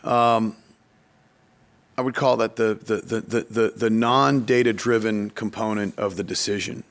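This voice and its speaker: A man talking conversationally on a podcast, telling anecdotes in a halting way and often repeating words as he explains. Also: fairly low pitch, fairly even intonation. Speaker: economic development official, podcast speaker.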